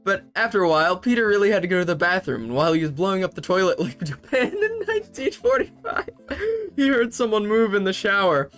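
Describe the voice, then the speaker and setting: A man's voice: sits high, very animated, fast, a bit bright, faint music behind. A young man hosting a comedy video, reading fanfiction aloud with sarcastic asides and frequent laughter. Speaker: host.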